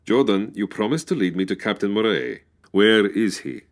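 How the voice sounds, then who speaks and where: A man's voice: lowish voice, normal speed, close to an everyday voice. A man playing a formal, precise, easily exasperated police official in a 1940s-style radio detective drama. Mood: stern, brisk.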